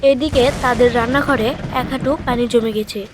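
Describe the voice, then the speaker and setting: A woman's voice: on the high side, steady tone, normal speed, noisy background. A woman narrating a scripted children's story. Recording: music behind the voice.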